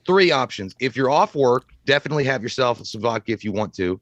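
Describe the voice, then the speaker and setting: A man's voice: mid-range voice, animated, brisk, dry recording, muffled recording. A man co-hosting a movie talk livestream in crude, joking casual chat, swearing freely and riffing with little acted-out quotes.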